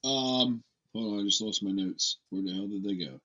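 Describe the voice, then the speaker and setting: A man's voice: on the low side, moderate pace, pushed and bright, muffled recording. A man guest in a casual sports chat, cracking short quips. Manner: wisecracking, brief quips.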